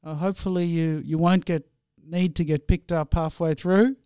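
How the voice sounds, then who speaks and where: A man from India hosts an interview in a friendly, rambling way. His voice is slightly high, mellow and smooth. The sound is dry. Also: fairly even intonation, moderate pace, dry recording. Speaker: host.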